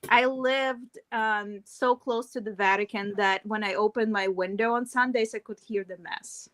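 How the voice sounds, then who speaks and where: A woman's voice: mid-range voice, fairly even intonation, a little slow, a bit bright. A woman chatting casually as a guest in an easygoing way. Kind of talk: casual chat.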